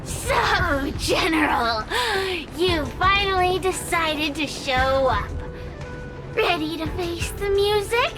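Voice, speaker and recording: A woman's voice: extremely high pitch, animated, slow pace, heavily acted. A woman voicing a scheming, theatrical spirit villain in a video game, with a mocking, drawling delivery. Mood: taunting. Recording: loud music behind.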